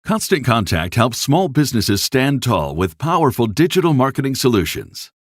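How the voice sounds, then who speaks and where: A man's voice: mid-range voice, very animated, normal speed, no room sound, studio quality. A man reading a scripted business advert as a voice-over. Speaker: commercial voice-over.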